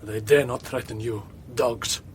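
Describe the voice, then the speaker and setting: A man's voice: medium pitch, fairly even intonation, a little slow, a little hiss or hum, lightly acted. A man playing a proud, suspicious, obstinate ship's captain in a radio drama, blunt and wary, talking in short probing phrases.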